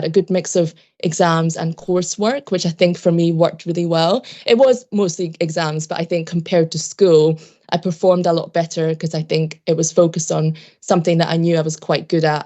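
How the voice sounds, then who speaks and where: A young woman with a low voice, from Japan and raised in Scotland, with Japanese as her first language. She talks as a podcast guest, reflective and measured, hedging her points as she goes. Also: warm tone. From Japan, raised in Scotland from age ten. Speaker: podcast guest, PhD student. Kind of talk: casual interview talk.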